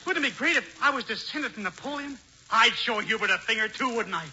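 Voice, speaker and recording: An actor playing a naive, eager, gullible fellow with an earnest, boyish manner in a 1940s radio sitcom. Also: male voice, extremely high pitch, expressive, fairly quick, pushed and bright, full character voice. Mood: amazed. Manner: earnest, boyish, easily impressed. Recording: noisy, muffled 1940s radio recording.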